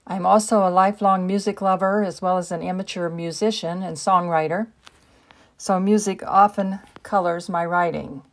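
Lowish-voiced American woman poet. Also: steady tone, normal speed. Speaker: poet and writer. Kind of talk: prepared introduction and poetry reading.

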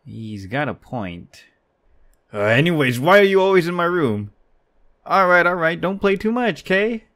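A man's voice: on the high side, very animated, measured pace, fairly bright tone, pitched above the performer's own voice. A man voicing a curious, teasing little sister in a manga dub.

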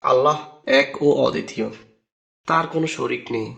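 A man's voice: medium pitch, normal speed, vocal fry, a bit bright, muffled recording. A man voicing an earnest, friendly and resolute devout young man in a cartoon.

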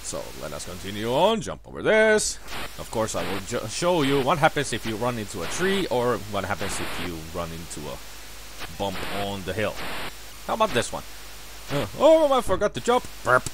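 Let's player, man, 30s, sore throat, chatty and self-correcting, very lively pitch, light background hiss. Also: normal speed. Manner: rambling, self-correcting, bursts of excited cheers. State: sore throat, voice lower than usual. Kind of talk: casual gameplay commentary.

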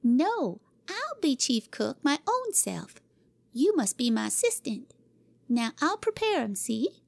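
A woman voicing a bossy, excitable little girl in a storybook reading, shrill and commanding. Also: slow, gravelly, no room sound, full character voice. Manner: shrill, imperious, gleeful.

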